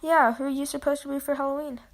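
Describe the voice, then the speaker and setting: A woman's voice: high voice, steady tone, fast talker. A woman reading the lines of a naive little boy in a zombie costume, in her own scratchy voice.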